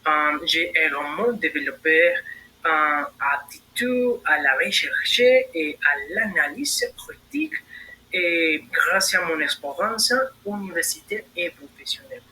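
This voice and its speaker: A man's voice: fairly high pitch, measured pace, bright, forceful tone, slight background noise, rough recording. A young man, answering as a candidate in a practice admission interview, reciting prepared answers with hesitant fillers. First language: Spanish. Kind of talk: role-played job interview.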